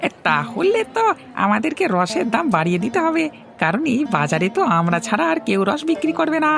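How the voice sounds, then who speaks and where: A man voicing a giddy, mischievous village swindler's sidekick in a cartoon fairy tale, excitable and blurting. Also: extremely high pitch, brisk, gravelly, full character voice. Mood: scheming.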